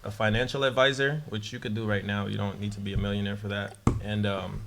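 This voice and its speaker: Gravelly-voiced man from Saint Lucia. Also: fairly low pitch, fast talker, noisy background. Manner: relaxed, thoughtful, filler-heavy. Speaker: guest. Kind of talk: casual group chat.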